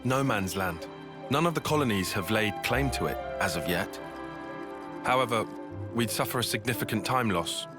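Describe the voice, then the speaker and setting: A man's voice: on the low side, lively intonation, moderate pace, loud music behind the voice, natural voice. A man playing a logical, cautious young soldier in a video game, with formal, precise wording. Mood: measured, advising. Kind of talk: video game cutscene acting.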